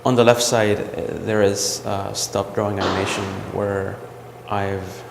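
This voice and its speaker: Low gravelly man in an echoey gallery. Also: steady tone, background noise. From El Salvador. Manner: reflective, halting, with frequent ums and restarts. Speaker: visual artist. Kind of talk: talking about his artwork. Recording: roomy gallery echo with long reverb.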